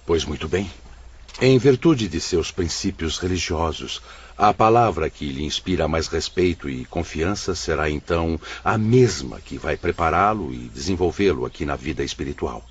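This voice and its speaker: Deep, husky, paternal spiritual director. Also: male voice, expressive, highs cut off, exaggerated voice. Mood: solemn, kindly. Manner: solemn, paternal, measured oratory. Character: benevolent spirit brother directing a heavenly hospital. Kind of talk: radio drama acting.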